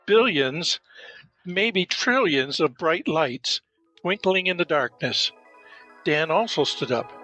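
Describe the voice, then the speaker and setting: A man's voice: on the high side, pushed and bright, poor audio. A man reading scripted narration for an audio drama as its storyteller.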